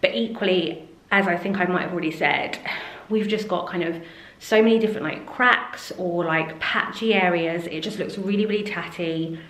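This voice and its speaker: A woman's voice: fairly low pitch, normal speed, fairly bright tone. Chatty woman in an echoey kitchen. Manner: chatty, rambling, many fillers. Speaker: home renovation vlogger. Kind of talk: casual talk to camera. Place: large indoor kitchen. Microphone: camera held close. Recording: echoey room.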